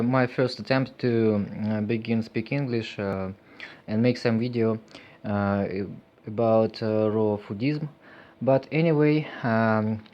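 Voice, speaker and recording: A man with a Russian accent, a native Russian speaker, talking in an unscripted personal vlog, halting and full of fillers, reflective and earnest. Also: mid-range voice, a little mellow, lo-fi sound. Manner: hesitant, halting, many fillers. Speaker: vlogger.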